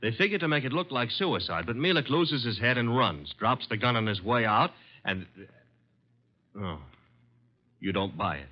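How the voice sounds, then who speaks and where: A man playing a brisk, wisecracking detective's assistant in an old-time radio drama, with a wry, needling manner. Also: medium pitch, lively intonation, close to an everyday voice. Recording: dull vintage radio recording.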